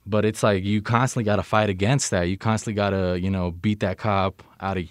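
Young american dude just chatting, slangy and loose, lowish voice, dry close sound. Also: male voice, fairly even intonation, fairly quick, dry recording. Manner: informal, slangy, frequent "you know what I mean". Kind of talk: casual conversational chat.

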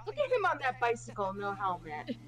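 A woman with a high, very animated voice casually comments on a film as it plays. Music from the movie runs clearly behind her. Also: a little fast. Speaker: host of a movie reaction show. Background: movie audio with music.

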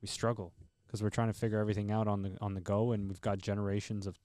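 Man, podcast host, earnest encouraging manner, warm mellow tone, close studio mic. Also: lowish voice, level tone, fast, no echo. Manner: earnest, encouraging, speaks about men's struggles. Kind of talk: casual podcast interview. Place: recording studio. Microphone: headset mic and headphones.

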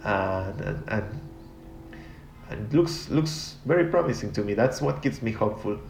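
A man's voice: fairly low pitch, expressive, unhurried, vocal fry, darkish tone, slight background noise. A man from Argentina, speaking as an interview guest in a reflective, rambling way with many restarts. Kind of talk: casual interview talk. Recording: echoey room.

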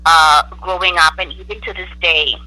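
A woman from the Bahamas, a guest speaking in an interview in an earnest, measured way with church phrases. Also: fairly low pitch, steady tone, bright, forceful tone, no room sound, poor audio. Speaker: guest, church educator and gospel soloist. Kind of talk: interview conversation.

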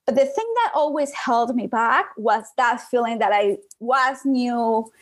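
A woman's voice: fairly high pitch, unhurried. A young woman with a Colombian accent and Spanish as her first language, telling her own story as a guest in a conversational interview. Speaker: podcast guest, digital marketing business owner.

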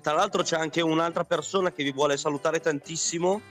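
A man's voice: fairly high pitch, fairly even intonation, brisk, fairly bright tone. Male radio guest, casual joking talk, faint music behind. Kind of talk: casual comic chat.

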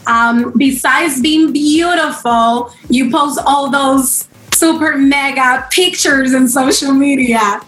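A woman hosting an interview, asking questions in a slow, halting way with non-native grammar. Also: high voice, a bit bright. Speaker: interviewer, host. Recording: roomy echo, faint music behind.

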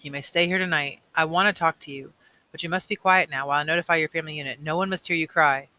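A man reads as a weary elder mentor in a storybook. He speaks with grave, measured authority in a clear-edged, very bright voice, earnest and firm. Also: on the high side, steady tone, low-quality recording.

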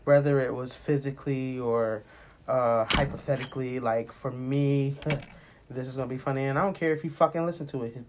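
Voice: mid-range voice, fairly even intonation, fairly quick, background noise. An American podcast host in their late twenties talking casually and personally, rambling, candid and emphatic, with plenty of swearing. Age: late 20s.

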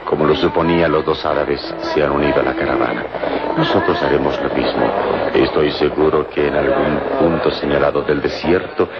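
A fearless, philosophical hero of an old radio adventure serial. His voice is extremely low, with a measured and solemn delivery. The sound is muffled and buried in heavy noise. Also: steady tone. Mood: calm, determined. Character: calm, wise, fearless male hero adventurer and protector.

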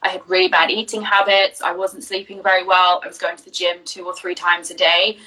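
A woman's voice: lowish voice, level tone, fairly quick, pushed and bright, distant mic, rough recording. A young woman from Jersey, a business coach, chatting openly in an interview and telling her story with plenty of fillers. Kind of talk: casual interview answers.

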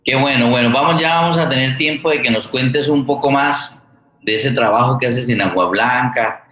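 A man with a Colombian accent hosting a radio theater program conversationally, with halting, unhurried phrasing. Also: medium pitch, fairly bright tone. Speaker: host of a radio theater forum. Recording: echoey room sound.

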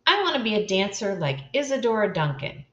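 A woman's voice: mid-range voice, sing-song, a little fast, a bit bright, muffled recording, pitched above the performer's own voice. An older woman voicing a chatty, wealthy young girl in a storybook reading. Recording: roomy echo.

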